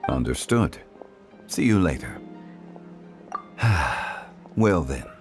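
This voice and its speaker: A man voicing a calm, dignified and scholarly gentleman in a video game, speaking formally and eloquently. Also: lowish voice, unhurried, music in the background. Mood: composed. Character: calm, dignified scholarly gentleman, ancient being living as mortal.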